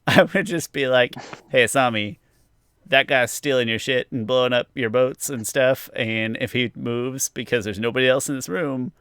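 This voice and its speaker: A man's voice: medium pitch, moderate pace, a bit bright, clear, resonant tone, no room sound. A man co-hosting a podcast, chatting casually and with opinions about a TV show. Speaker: podcast co-host. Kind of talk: casual TV review chat.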